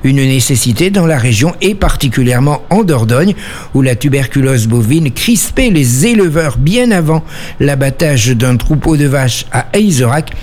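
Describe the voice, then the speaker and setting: A man's voice: medium pitch, lively intonation, moderate pace, a little mellow. A man hosting a local radio show, reading a news bulletin and then interviewing a guest with some hesitant fillers. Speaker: radio host. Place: radio studio.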